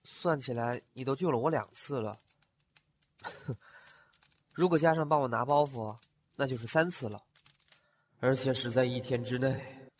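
A man's voice: mid-range voice, a little slow, pauses often, a little hiss or hum, close to an everyday voice. A man playing a talkative, curious young antique-shop owner who often muses aloud to himself. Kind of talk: fan audio drama acting.

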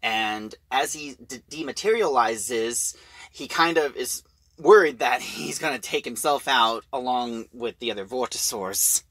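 A man giving an informal spoken review, retelling a plot in a halting, emphatic storyteller's way. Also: medium pitch, a bit bright, dry recording.

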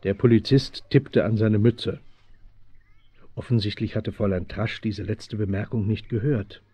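A man's voice: on the low side, a little slow, mellow, slightly rough, no room sound. A man playing the storyteller of a children's radio mystery, giving scripted narration. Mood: narrating. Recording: dull old audio drama recording.

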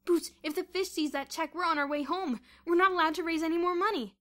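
Woman as excitable bossy schoolboy ringleader, high-pitched. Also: brisk. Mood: excited. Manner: exclamatory, commanding.

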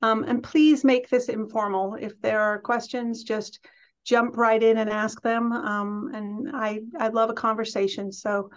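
A woman's voice: fairly high pitch, level tone, a little slow. Chatty middle-aged woman on a video call. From England. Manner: friendly, chatty, many ums and restarts. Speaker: college president, guest speaker. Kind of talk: casual talk on a video call. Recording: dull lo-fi video call audio.

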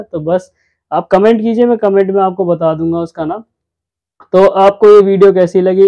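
Dark-voiced man explaining to camera. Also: on the high side, lo-fi sound. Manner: casual, repeats words, gestures with hands. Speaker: senior hair stylist. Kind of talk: explainer video talking to camera. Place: small indoor room against a plain wall. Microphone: lapel mic.

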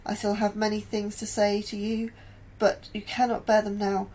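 A woman's voice: mid-range voice, monotone, normal speed, darkish tone, natural voice, low-quality recording. A woman playing an anxious, guilt-ridden astrobiologist in a sci-fi horror audio drama. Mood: reciting scripture. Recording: clear background noise and ambience.

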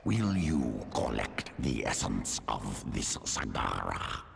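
Extremely deep, gravelly alien creature. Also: male voice, sing-song, slow, noisy background, full character voice. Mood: probing, sinister. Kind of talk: video game creature voice acting.

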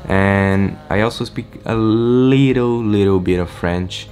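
A teenage boy from Brazil, Portuguese native, chatting excitedly in a casual vlog update from his small bedroom, rambling and restarting often. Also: lowish voice, slow, faint music behind. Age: teens. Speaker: vlogger. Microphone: camera-mounted shotgun mic.

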